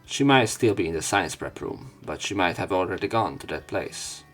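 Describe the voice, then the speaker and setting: Low-voiced man reading a visual novel aloud. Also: animated, normal speed, a bit bright, soft background music. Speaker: let's player. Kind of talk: let's play commentary, reading visual novel text aloud.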